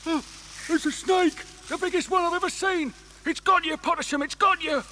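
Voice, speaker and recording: An actor playing an excitable, jittery henchman in a children's audio drama. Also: extremely high pitch, moderate pace, a little hiss or hum. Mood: alarmed. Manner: exclaiming, jittery. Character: excitable male villain's henchman.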